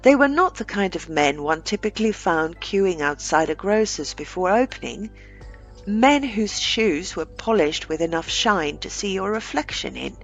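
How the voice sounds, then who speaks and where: A woman narrating a scripted short story aloud, with deliberate phrasing and dramatic pauses. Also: medium pitch, lively intonation, faint music behind, rough recording.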